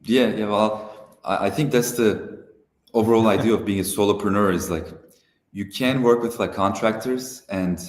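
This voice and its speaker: Low-voiced young man, roomy call audio. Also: moderate pace. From Turkey. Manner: relaxed, hesitant, many "uh" fillers. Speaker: guest, software founder. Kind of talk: casual interview over a live stream.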